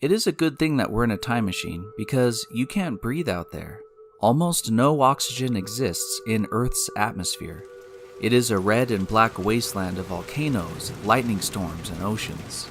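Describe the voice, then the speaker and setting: A man hosting and narrating a scripted science story in a deliberate, explanatory way, speaking directly to the listener. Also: lowish voice, lively intonation. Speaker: podcast host and narrator. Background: music.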